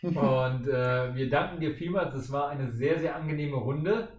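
A man's voice: medium pitch, measured pace, a little mellow, distant mic, muffled recording. A man hosting a casual podcast interview and putting questions to his guest. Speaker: podcast host. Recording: echoey, off-mic, faint noise.

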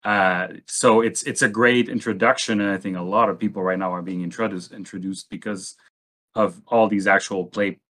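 A man in a casual discussion, speaking haltingly and thinking aloud as a panelist. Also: lowish voice, fairly even intonation, fairly bright tone.

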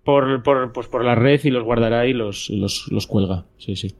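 A man from Spain, a podcast guest chatting casually, with relaxed, hesitant speech full of fillers. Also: medium pitch, moderate pace.

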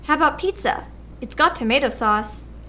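A woman acts out a sassy, whiny American schoolchild in a radio drama. The voice is high and sharp, grumbling, with a little noise in the background. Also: lively intonation, normal speed. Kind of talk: radio play acting.

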